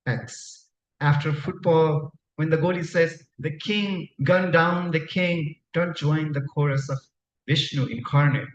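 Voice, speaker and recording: An unhurried male poet from Nepal, a native Tamang speaker, reads to a room in a measured, deliberate way. He leaves frequent pauses between phrases. The sound is roomy and a little muffled, with faint noise behind him. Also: on the high side, steady tone. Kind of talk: live poetry reading with spoken introductions. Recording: dull, echoey room recording, faint noise.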